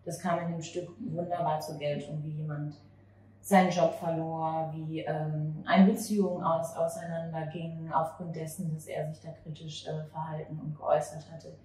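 Female interviewer, thirties, halting and reflective, heard from off-mic with some background noise. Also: low-pitched, level tone, darkish tone. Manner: halting, searching for words. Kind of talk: conversational interview with long reflective questions. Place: small cafe or bar table at night. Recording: very echoey, distant, noisy room ambience.

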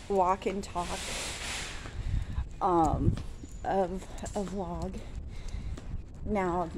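Slow, rambling woman vlogging outdoors. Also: fairly low pitch. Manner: rambling, slow, with many fillers and restarts. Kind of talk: casual walk-and-talk vlog. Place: outdoors by a store, daytime. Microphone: phone held at arm's length. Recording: outdoor phone recording with traffic noise.

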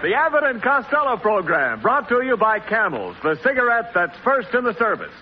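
A man's voice: high voice, huge pitch swings, moderate pace. A man announcing a program and its cast in 1940s radio style. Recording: dull 1940s radio, loud band music.

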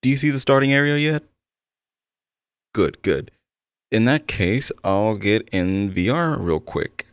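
A man acts out an eager male game developer in an intimate audio roleplay. His voice is low and swings expressively as he talks attentively, a little awkward and quick to apologize. The sound is close and dry. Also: expressive, clean tone, dry recording. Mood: attentive, helpful. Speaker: roleplay voice actor. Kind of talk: ASMR-style roleplay addressed to the listener.

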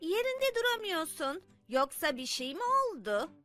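A woman's voice: very high-pitched, sing-song, unhurried, sharp tone, heavily acted. A woman voicing a curious young girl in a children's cartoon, sounding inquisitive. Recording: music bed behind the voice.

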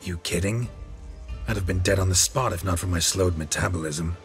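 A man playing a weary, stoic monster hunter in a video game, gruff, blunt and laconic. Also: moderate pace, faint music behind. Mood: dry, weary. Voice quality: low, gruff. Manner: restrained, laconic. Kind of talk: dramatic video game acting.

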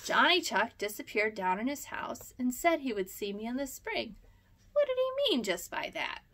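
A woman's voice: on the high side, animated, normal speed, sharp tone, exaggerated voice. A woman reading aloud voices an eager, curious young male rabbit.

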